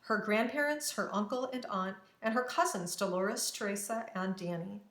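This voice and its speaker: Expressive woman storyteller in an echoey room. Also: mid-range voice, moderate pace. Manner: dramatic, careful phrasing. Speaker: storybook reader. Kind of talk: expressive children's read-aloud narration.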